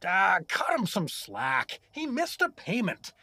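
A man's voice: high voice, huge pitch swings, takes it slowly, pushed and bright, slightly rough, no echo, full character voice. A man playing an exasperated, blustering everyman, a reluctant hero trapped in a comedic fantasy audio drama, indignant and incredulous. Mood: dry protest.

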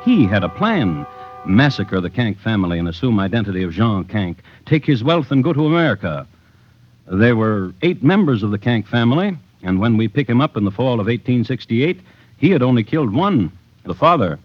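A man narrating a crime drama in a deliberate, literate, dramatic old-time radio style. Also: mid-range voice, sing-song. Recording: muffled old radio recording. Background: music.

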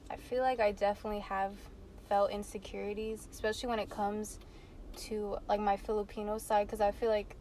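A woman's voice: mid-range voice, level tone, fairly quick, mellow, a little hiss or hum. Hesitant young woman in casual chat. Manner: hesitant, "I feel like" and "I don't know" hedging. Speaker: panelist.

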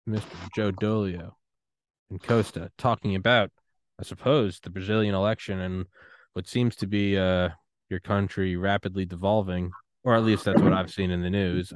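A man's voice: sits low, little pitch movement, normal speed, no echo. A man hosting a podcast interview, talking in a crude, joking way. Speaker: podcast host.

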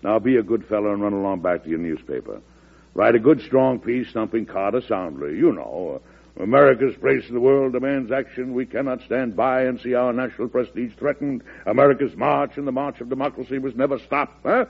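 An actor playing an aging, stubborn former president in an old-time radio drama, emphatic, folksy and self-assured. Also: male voice, mid-range voice, brisk, creaky, breathy edge. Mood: wry, determined. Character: aging former president, stubborn, commanding, wily political boss. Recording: muffled lo-fi old radio broadcast.

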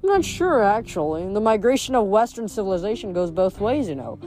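A woman's voice: medium pitch, very animated, fairly quick, a little mellow, faint music behind, close to an everyday voice, rough recording. Woman playing a courteous, formal ancient river god. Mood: musing, courteous.

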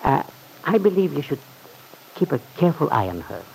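Creaky-voiced, deferential manservant. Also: male voice, a little high, big pitch swings, darkish tone, exaggerated voice. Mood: worried caution. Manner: formal, discreet, measured. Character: deferential, cautious, worried manservant advising his employer. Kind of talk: old-time radio serial acting. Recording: faintly noisy old radio recording.

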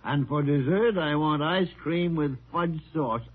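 Grumpy, drawling old American doctor. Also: male voice, a little high. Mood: stubborn, demanding. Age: older. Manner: grumbling, emphatic, drawn-out delivery. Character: grumpy, stubborn old male senior doctor. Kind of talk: 1940s radio drama acting. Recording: tinny, noisy old radio recording.

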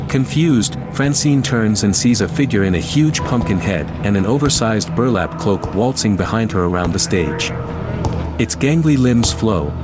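A man reading scripted dramatic narration for an audio drama. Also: on the low side. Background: music, vehicle sound effects.

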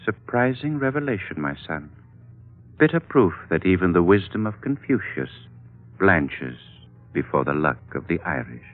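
A wise, fatherly older Chinese gentleman in a vintage radio play. He speaks formally and philosophically in a very deep voice. The sound is narrow and tinny, with faint music behind. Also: very animated. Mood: solemn, fatherly. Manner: formal, measured, flowery speech. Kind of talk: 1940s radio drama acting.

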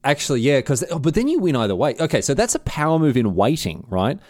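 A man's voice: medium pitch, very animated, fast talker, darkish tone, no echo. A young man with a city Australian accent chats and jokes as a podcast co-host, often restarting his sentences. The sound is close and dry, with no room around him. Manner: chatty, joking, many restarts. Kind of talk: casual chat.

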